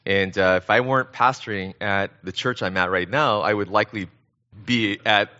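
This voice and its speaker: A middle-aged American man preaching conversationally as a guest pastor, speaking in an earnest, halting way. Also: lowish voice, pushed and bright, muffled recording. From born in Korea, grew up in Southern California. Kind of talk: conversational sermon.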